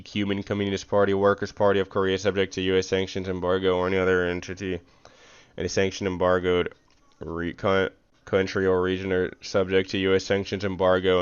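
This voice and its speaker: A man with a fairly low, smooth and resonant voice streams live as he reads aloud. He stumbles and corrects himself, with a casual, slangy streamer delivery. The recording sounds a little muffled. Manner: slangy casual speech with frequent "bro". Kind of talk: reading a legal document aloud on a livestream, with casual asides.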